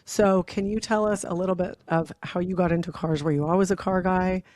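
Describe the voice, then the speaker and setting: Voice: medium pitch, fairly even intonation, brisk, a little mellow, no room sound. Podcast host with a dry, close sound. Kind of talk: casual interview hosting.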